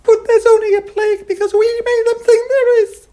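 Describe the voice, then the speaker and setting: A man voicing a small, earnest female rat with a squeaky voice in an audiobook. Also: level tone, normal speed, warm tone.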